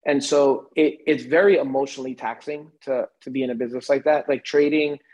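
A man's voice: medium pitch, steady tone, brisk, a little mellow, muffled recording. An American man in his forties, a guest in a casual podcast conversation, rambling with many fillers and restarts. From United States. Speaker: interview guest.

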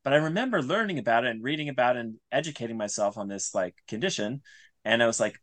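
A man as an interview guest, talking informally and reflectively, halting as he searches for his words. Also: medium pitch, animated, a bit bright, no echo. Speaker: podcast guest, writer and audio creator.

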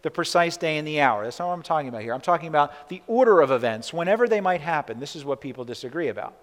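A man preaches in a relaxed, conversational way, checking in with the congregation and often restarting mid-phrase. His voice sits a little high for a man. It rings out with a long reverb, as if in a church sanctuary. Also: very fast. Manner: conversational, frequent "right?" asides and restarts. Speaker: pastor. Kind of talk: sermon.

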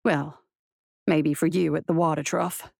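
Woman doing a low, gruff, weary marshal. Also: little pitch movement, unhurried, darkish tone, no echo, heavily acted. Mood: wry. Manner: dry, grumbling, wry. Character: gruff, weary male marshal grumbling to his horse. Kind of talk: audiobook character voice.

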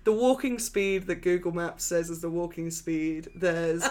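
A woman's voice: fairly low pitch, measured pace, darkish tone. Giggly woman co-hosting a chatty podcast. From England. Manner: giggly, rambling storyteller. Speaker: podcast co-host. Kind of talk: casual chat. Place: home flat.

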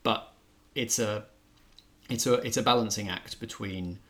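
Male arts show co-host, lowish pitch, conversational, thinks aloud haltingly. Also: a bit bright. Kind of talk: casual film discussion.

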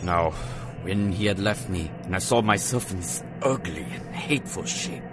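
A man's voice: sits low, normal speed, music in the background. A man narrating an audio drama in archaic English, with a theatrical, declamatory delivery.

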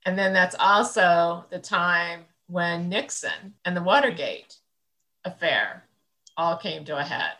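A woman's voice: on the low side, animated, takes it slowly, bright, forceful tone, low-quality recording. A woman in her sixties from central Illinois, an interview guest, recalls the past in a rambling, thoughtful way with many fillers. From central Illinois, United States. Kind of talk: reflective conversational interview.

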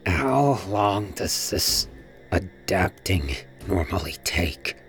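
An actor playing a weary, uneasy space pirate with a brooding manner, as in a first-person audio drama. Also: male voice, sits low, animated, slow, fairly bright tone, heavily acted. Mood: pained, fearful. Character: weary, uneasy male space pirate narrating his ordeal. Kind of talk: audio drama acting and narration. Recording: music behind the voice.

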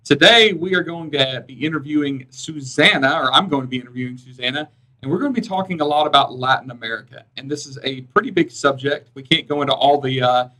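A man's voice: mid-range voice, quick pace, bright, forceful tone, rough recording. A man with an Alabamian accent hosting a podcast, chatting in an upbeat, rambling way. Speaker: podcast host. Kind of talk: casual interview chat.

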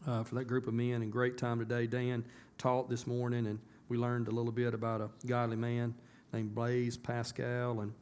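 A hoarse man, a pastor talking to his congregation in a casual, folksy way that turns emphatic. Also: medium pitch, monotone, moderate pace, few pauses, darkish tone. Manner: folksy, conversational, rising to emphatic. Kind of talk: casual church announcements and sermon. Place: church sanctuary.

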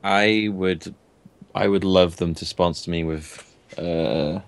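A man's voice: sits low, steady tone, slow, smooth, no room sound. A man co-hosting a casual group podcast, rambling with many restarts. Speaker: podcast co-host.

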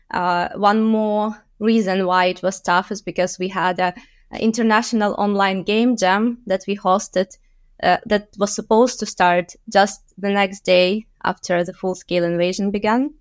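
A woman from Ukraine, giving steady, measured answers as an interview guest. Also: mid-range voice, dry recording, studio quality. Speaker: guest, game company co-founder. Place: home in London.

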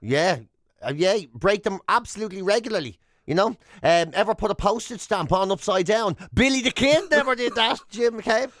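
A man's voice: high voice, expressive, normal speed, fairly bright tone, no room sound, clean studio sound. An Irish man co-hosting a radio show, bantering comically with a teasing, mock-accusatory way of talking. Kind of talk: bantering comedic chat. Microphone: close studio microphone.

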